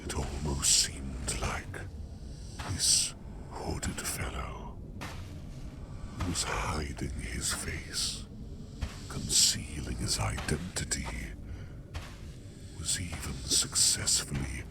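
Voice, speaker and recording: A man voicing a calm, eerie sleep demon in an ASMR roleplay, speaking in a hushed, deliberate, gravelly half-whisper with long pauses and formal phrasing. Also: background noise, heavily acted. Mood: uneasy, intrigued. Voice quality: very deep gravelly half-whisper, heavy fry. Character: ancient-seeming male sleep demon, calm, eerie, gently watchful. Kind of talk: ASMR roleplay, scripted monologue.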